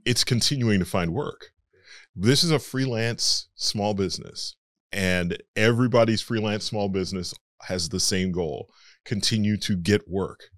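A man's voice: on the low side, measured pace, a bit bright, no echo, clean studio sound. A male voice actor being interviewed, explaining his trade in a frank, matter-of-fact conversational way. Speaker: voice actor guest.

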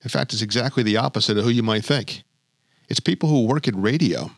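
A man's voice: mid-range voice, brisk, dry recording. A man with a mid-range voice narrates a show with a light, wry storyteller's touch. His voice sounds dry and close to the mic. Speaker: podcast host. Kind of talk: scripted narration.

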